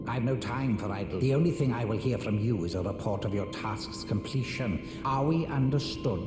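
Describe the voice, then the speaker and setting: An actor playing a haughty, condescending, scheming sorcerer and puppeteer in a fantasy video game, with pompous, sneering, theatrical phrasing. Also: male voice, mid-range voice. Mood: disdainful. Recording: echoey hall reverb under loud music.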